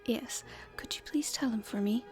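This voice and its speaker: A woman playing a proper young princess in an ASMR roleplay, speaking formally and hesitantly in a soft, breathy half-whisper. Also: on the high side, normal speed, soft background music, lightly acted. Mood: polite, reserved. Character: proper young princess at her debut, stifled, secretly rebellious.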